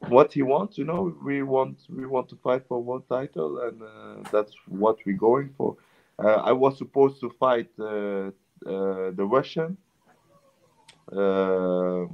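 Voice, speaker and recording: A man, a boxer guest, answering in halting phrases and repeating words. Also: on the low side, creaky. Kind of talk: interview answers. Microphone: video call. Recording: lo-fi video call audio.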